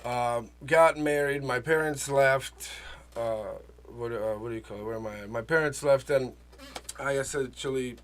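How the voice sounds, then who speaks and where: A man from the Czech Republic, a native Czech speaker, talking casually as an interview guest, halting, repeating himself and searching for words. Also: mid-range voice. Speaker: interview guest, small business owner. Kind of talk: casual, personal interview talk.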